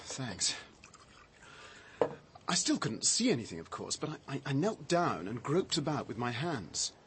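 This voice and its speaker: An actor playing a shaken, defensive man with a halting, reflective, dry delivery in a radio drama. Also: medium pitch, big pitch swings, noisy background. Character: shaken man recounting his discovery, defensive, sardonic.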